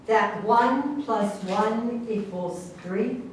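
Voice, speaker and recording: Stage satire: actress as sardonic female political opponent, deliberate delivery, high pitch, recorded at a distance. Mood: challenging, disapproving. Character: skeptical, scolding female political opponent of the president. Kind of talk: staged reading of a satirical play. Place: echoey room, far from the microphone. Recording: very echoey, distant, noisy hall recording.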